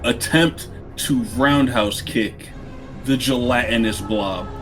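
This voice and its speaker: A man's voice: medium pitch, slow, music in the background. A man playing a tabletop game, chatting casually in a colloquial, slangy way.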